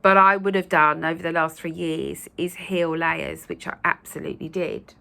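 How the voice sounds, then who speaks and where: Woman host, croaky and gravelly after a cold, lowish voice, gentle reassuring chat to viewers. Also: fairly even intonation, moderate pace. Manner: gentle, reassuring, with many fillers. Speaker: spiritual channel host. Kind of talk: casual spoken talk to viewers.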